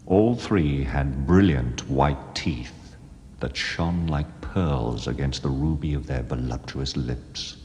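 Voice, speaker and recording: A spellbound young traveller. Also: male voice, rumbling low, darkish tone, heavily acted. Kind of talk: dramatic reading of a novel excerpt. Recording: long reverb, faint ambience.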